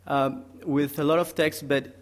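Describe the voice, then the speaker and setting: A man's voice: a little high, flat delivery, unhurried. A young man from Peru, a graduate student, giving a technical conference presentation with many hesitations, explaining step by step.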